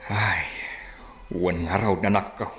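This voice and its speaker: A man acting in a radio drama as a gentle, patient older father, giving measured advice. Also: low-pitched, fairly even intonation, roomy sound, faint music behind. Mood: resigned patient.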